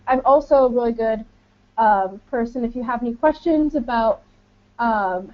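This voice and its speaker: An American woman in her twenties speaks informally as a panelist in an online session. Her voice sits a little high, and the sound is dull and lo-fi, like an internet call. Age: 20s. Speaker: English teaching auxiliary speaking as a webinar panelist. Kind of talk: informal self-introduction on a webinar. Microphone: online webinar call.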